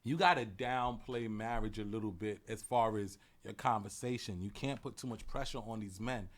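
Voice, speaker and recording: A man co-hosting a podcast, loud and joking, breaking into laughter and shouted squeaky outbursts. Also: normal speed. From Haiti. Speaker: podcast co-host. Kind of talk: loud casual banter.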